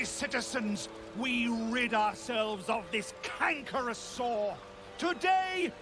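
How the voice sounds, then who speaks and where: A fanatical cult preacher in a video game. He declaims pompously in a very high voice, drawing words out slowly over prominent music. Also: heavily acted. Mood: rousing, fanatical. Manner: pompous, declamatory, slow oratory. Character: zealous, theatrical cult preacher and executioner. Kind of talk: scripted video game acting.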